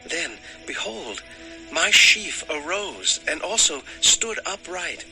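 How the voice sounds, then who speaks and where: Earnest young dreamer, unhurried and melodic. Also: male voice, a little high, heavily acted, low-quality recording. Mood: earnest wonder. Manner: slow, expressive, declaiming. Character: Joseph, earnest naive young dreamer, shepherd's son. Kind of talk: dramatized audio Bible acting. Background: music.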